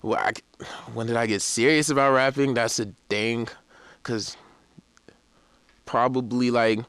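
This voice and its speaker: A young man talking in a loose, rambling way, often laughing between thoughts. His voice is smooth and resonant, recorded close and dry like a podcast mic. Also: medium pitch, steady tone, measured pace, a bit bright, no echo. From Florida. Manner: laid-back, rambling storytelling with laughs. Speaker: rapper in a band. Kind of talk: casual interview chat.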